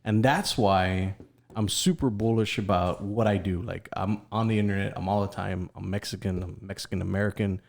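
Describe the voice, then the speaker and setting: A man's voice: sits low, fairly even intonation, normal speed, vocal fry. A man from Texas with a Texan accent, hosting a podcast and speaking in an emphatic, confident, opinionated way. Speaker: podcast host. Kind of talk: casual podcast conversation.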